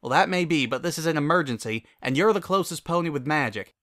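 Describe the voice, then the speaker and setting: A firm, caring country mare played by a man from a cartoon pony story. The delivery is folksy and blunt, pitched a little above the reader's own. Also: animated, quick pace, a bit bright. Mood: grave, urgent. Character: plain-spoken, practical country farm mare, caring and firm.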